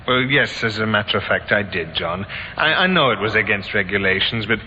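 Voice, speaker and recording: An actor playing an evasive, apologetic warehouse manager in an old radio drama, speaking in a hesitant, sheepish way. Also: male voice, lowish voice, expressive, fairly quick, bright, forceful tone. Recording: dull, echoing, noisy old radio recording.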